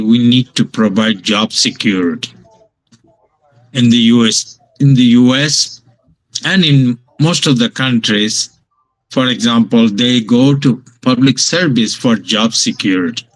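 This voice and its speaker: An older man from Bangladesh, a professor giving a lecture from his home over a video call, pausing often with fillers and restarts. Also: mid-range voice, fairly bright tone, rough recording. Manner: slow, halting delivery with many fillers and restarts. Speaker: guest lecturer.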